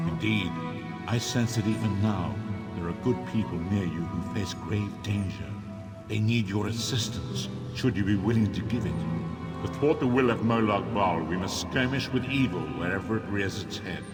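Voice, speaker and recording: A solemn old prophet from a fantasy video game, played by a man. He speaks in grave, formal and archaic phrases, with a mystic's sense of portent. Loud music plays behind him. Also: fairly low pitch, animated, moderate pace, close to an everyday voice. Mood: grave, counselling.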